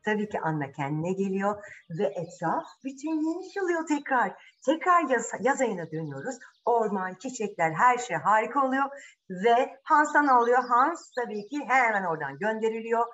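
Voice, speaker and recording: A woman's voice: a little high, very animated, moderate pace, a bit bright, dull audio. A woman storyteller retelling a fairy tale to children in a dramatic, conversational way, with rhetorical questions and repetition. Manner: dramatic, rhetorical questions, repeats phrases for suspense. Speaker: children's storyteller, channel host.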